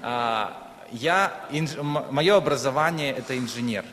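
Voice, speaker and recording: A man's voice: mid-range voice, a little slow, fairly bright tone. A man from Ukraine, a native Russian speaker, preaching in short phrases that leave room for an interpreter. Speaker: guest preacher. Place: church hall. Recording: echoey hall with long reverb.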